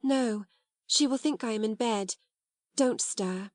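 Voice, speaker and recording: A woman's voice: on the high side, slow, no room sound. A woman voicing a brave, spirited young schoolgirl who speaks earnestly. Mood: whispering. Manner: earnest, fierce when stirred.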